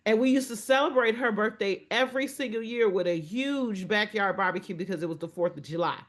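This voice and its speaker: Lively woman in her fifties chatting. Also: medium pitch, fairly quick. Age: 50s. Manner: lively, laughs often, folksy phrasing. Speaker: podcast co-host. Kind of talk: casual chat.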